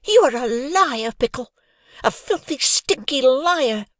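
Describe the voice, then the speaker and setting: A narrator voicing a hot-tempered schoolgirl who shouts with indignant fury. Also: high-pitched, animated, slow, dry recording, studio quality, voice pushed up. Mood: furious.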